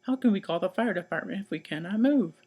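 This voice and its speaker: A man voicing an excitable, panicky married woman in a children's storybook, shrill and dramatic. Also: fast, husky. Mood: anxious, arguing.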